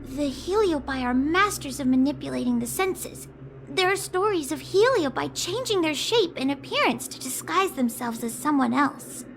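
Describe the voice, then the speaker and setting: A woman's voice: high voice, lively intonation, moderate pace, a bit bright, a little hiss or hum. A woman voicing a small, bookish, cautious girl in a video game, earnestly explaining with some hesitation. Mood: knowing. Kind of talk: video game character dialogue. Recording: music behind the voice. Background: game music.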